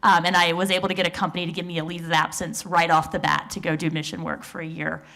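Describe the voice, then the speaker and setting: A woman's voice: fairly low pitch, flat delivery, quick pace, vocal fry, sharp tone. A woman in her forties on a conference panel, telling her story in an earnest, reflective way with small fillers. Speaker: researcher on a panel. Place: conference stage.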